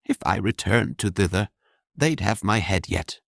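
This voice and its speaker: Brief: man voicing a blunt young maid, deep harsh voice, gravelly edge, audiobook. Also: lively intonation, normal speed, no echo, clean studio sound. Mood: bitter. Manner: blunt, sharp-tongued.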